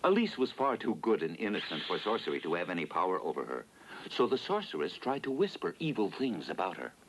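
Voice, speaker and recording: Animated male storybook narrator. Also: deep voice, a little fast, background noise. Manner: dramatic, expressive storytelling. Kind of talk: scripted storybook narration for a dubbed cartoon. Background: loud music.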